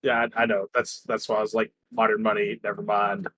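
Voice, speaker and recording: A man's voice: fairly low pitch, little pitch movement, fairly quick, pushed and bright, no echo, rough recording. A man chatting casually as a player in a tabletop roleplaying game, asking questions and stating his actions.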